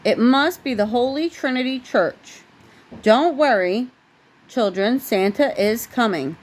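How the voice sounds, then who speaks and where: A hearty old Santa from a bedtime storybook, played by a woman reading aloud. He blusters, yet stays jolly and reassuring, in a slightly high voice. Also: lively intonation, a little slow. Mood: cheerful, reassuring.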